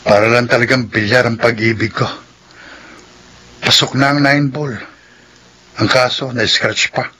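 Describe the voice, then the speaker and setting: A man's voice: mid-range voice, fairly even intonation, measured pace, pauses often, pushed and bright, husky. A man playing an earnest, heartbroken young man in love in a Filipino radio drama. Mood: dejected. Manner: earnest, lovelorn.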